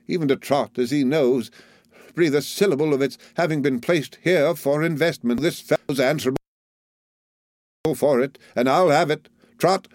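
A man's voice: a little high, a little mellow, no room sound, voice pushed up, lightly acted. A man voicing a forthright, commanding elderly aunt in an audiobook, speaking emphatically. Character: fierce, forthright, unflappable elderly aunt, affectionate to family. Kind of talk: audiobook character voice.

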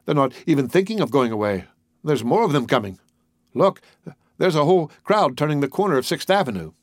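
A man voicing a lively, privileged young society woman with a wistful, hesitant, girlish manner in a story. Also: fairly high pitch, brisk, no echo, clean studio sound, voice pushed up. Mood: excited, alarmed.

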